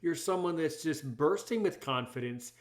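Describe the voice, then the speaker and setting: A man's voice: a little high, lively intonation, moderate pace. A man hosting a conversational podcast interview, speaking emphatically and often repeating his words and phrases for effect. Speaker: podcast host; English as a second language teacher.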